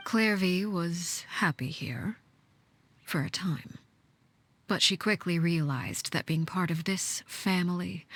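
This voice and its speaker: An actress playing a cold, menacing woman leader in a video game, speaking in a measured, formal, commanding way with a breathy hush. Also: lowish voice, no room sound. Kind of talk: scripted video game voice acting.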